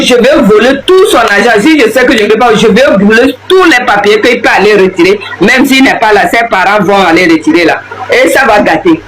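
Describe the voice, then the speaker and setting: A man's voice: high-pitched, noisy background. A man from Togo with a Togolese French accent, delivering a heated, repetitive, scolding political rant to the camera.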